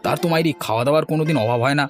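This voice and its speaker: A world-weary village farmer in a cartoon, played by a man. He grumbles resentfully at a quick pace in a lower voice, with faint music behind him. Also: lightly acted. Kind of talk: cartoon voice acting.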